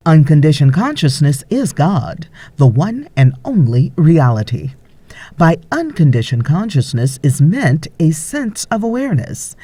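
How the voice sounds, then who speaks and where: A woman reading a spiritual audiobook as scripted narration, in a measured, deliberate way with frequent pauses. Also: deep voice, mellow, breathy edge, no echo.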